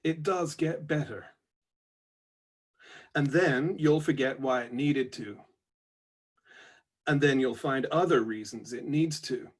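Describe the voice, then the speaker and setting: A man voicing a reflective, wryly stoic robot labourer from a book, slowly and deliberately, with an American-style twang. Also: medium pitch. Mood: quietly stoic. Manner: slow, deliberate, pausing delivery. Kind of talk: book reading of aphoristic notebook entries.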